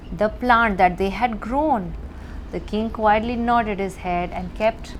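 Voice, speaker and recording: A woman narrating a children's bedtime story with deliberate, emphatic phrasing and dramatic pauses. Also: medium pitch, slight background noise, poor audio.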